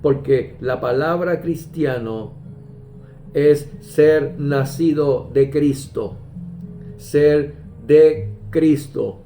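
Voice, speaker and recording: A man preaching a sermon, measured and emphatic, pausing often and quoting scripture. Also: on the high side. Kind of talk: slow deliberate sermon. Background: soft guitar music.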